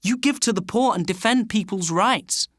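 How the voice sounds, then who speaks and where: A man voicing a caring, wise wife comforting her husband in a gentle, reassuring way. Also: high-pitched, moderate pace, no room sound, clean studio sound, voice pushed up.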